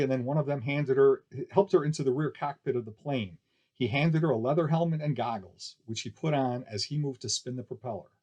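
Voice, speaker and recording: Husky-voiced man narrating a story. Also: medium pitch, a little mellow. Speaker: audiobook narrator reading a novel.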